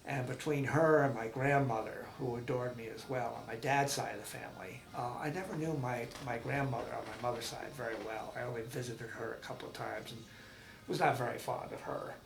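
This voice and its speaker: A man in his sixties from Massachusetts, recalling his life in a halting, rambling oral-history interview at home. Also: mid-range voice, fairly even intonation, vocal fry, reverberant, poor audio. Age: 60s. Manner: rambling, halting with many ums and restarts. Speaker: veteran interviewee.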